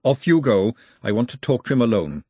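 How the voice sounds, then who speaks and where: A man voicing a blunt, bossy but caring working-class woman in a storybook, brisk and scolding. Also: medium pitch, big pitch swings, warm tone, slightly rough, dry recording. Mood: firm, protective.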